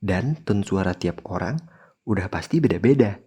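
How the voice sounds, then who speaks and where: A man's voice: low-pitched, expressive, normal speed, warm tone, husky. A man presenting a scripted podcast in a chatty way, speaking straight to listeners. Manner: chatty, addresses listeners directly. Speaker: podcast host.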